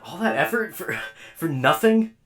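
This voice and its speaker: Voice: medium pitch, very animated, moderate pace, a bit bright, faint music behind. An actor voicing a mild, bemused robot companion in a radio drama, hesitant and plainspoken. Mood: uneasy. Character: mild, bemused robot companion who just gained legs.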